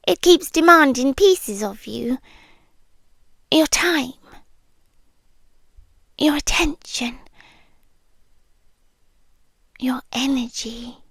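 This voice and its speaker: A British woman playing an ancient, possessive, obsessively devoted immortal lover in an intimate ASMR roleplay, speaking in a hushed, breathy, deliberate way that often slips into whispers. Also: sits high, no echo, clean studio sound, exaggerated voice. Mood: tender, possessive. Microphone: close microphone, dry.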